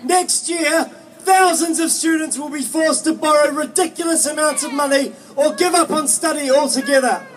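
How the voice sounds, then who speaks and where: Young man orating very high, heard from afar. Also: normal speed, a crowd in the background. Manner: earnest, emphatic oratory. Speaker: student movement speaker, postgraduate student. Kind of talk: prepared political rally speech. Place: outdoors at a street march. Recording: distant outdoor capture with faint noise.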